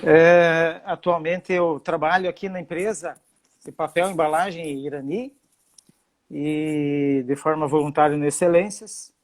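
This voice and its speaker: A middle-aged man from Santa Catarina, Brazil, hosting a video-call live stream in a friendly way, with many fillers and pauses. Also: fairly high pitch. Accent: Santa Catarina Brazilian Portuguese. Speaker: host.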